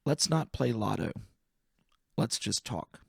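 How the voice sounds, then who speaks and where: A man reading humorous poetry aloud as an amateur, with a deliberate, wry delivery and careful enunciation. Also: lowish voice, sing-song, vocal fry, fairly bright tone, slightly rough, no echo, clean studio sound.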